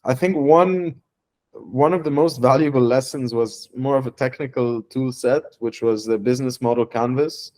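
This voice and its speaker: A young man from Lebanon, a startup founder giving a testimonial interview, speaking haltingly with many fillers and restarts. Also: mid-range voice, a little mellow.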